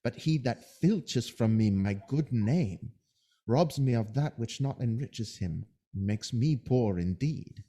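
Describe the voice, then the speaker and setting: An actor playing a scheming soldier who feigns honesty, in measured, insinuating Shakespearean verse for an audio drama. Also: male voice, medium pitch, animated, mellow, breathy edge. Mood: cautious, insinuating.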